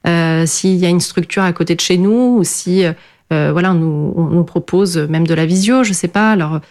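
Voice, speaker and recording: A woman's voice: fairly low pitch, moderate pace, a little mellow. A middle-aged woman from France whose first language is Portuguese, answering interview questions in a hesitant way with many fillers and restarts. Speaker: guest.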